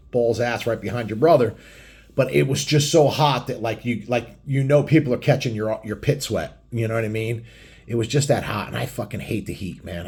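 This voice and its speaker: Man, middle-aged, medium pitch, casual vlog storyteller, blunt, crude, lots of swearing, rambling delivery. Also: a little mellow. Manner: crude, heavily profane, blunt. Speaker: biker vlogger telling stories.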